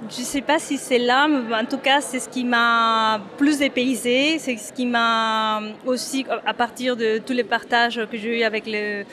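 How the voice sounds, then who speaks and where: Femme mexicaine hésitante, voix assez aiguë. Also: female voice, a little high, fairly even intonation, a little slow, few pauses, a bit bright. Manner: hesitant, many fillers and restarts. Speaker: photographer. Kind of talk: interview at an exhibition opening.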